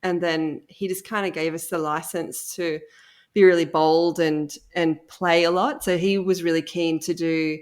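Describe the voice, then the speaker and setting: A woman's voice: fairly low pitch, steady tone, unhurried. A woman chatting casually and effusively as a guest on an interview podcast. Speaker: interview guest, audio drama co-creator.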